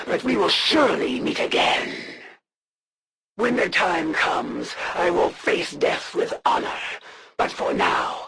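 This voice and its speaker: An actor voicing a proud, menacing alien female assassin in a video game, speaking formally and deliberately with a gravelly, creaky voice. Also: medium pitch, fairly bright tone, slight background noise, heavily acted. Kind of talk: dramatic video game character voice lines.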